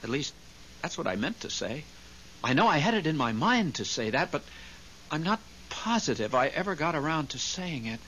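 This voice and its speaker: A man's voice: fairly high pitch, big pitch swings, normal speed, fairly bright tone, dull audio. A man playing an earnest, anxious ghost of a husband, speaking hesitantly in a 1970s radio drama. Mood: anxious, pleading. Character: earnest, anxious newly dead husband in heaven. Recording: hissy old radio recording.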